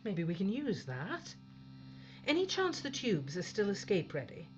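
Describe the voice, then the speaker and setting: A tough, commanding spaceship captain. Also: female voice, mid-range voice, huge pitch swings, measured pace, dull audio, a little hiss or hum. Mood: resolute. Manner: authoritative, blunt. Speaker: actor playing a ship's captain. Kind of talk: audio drama acting.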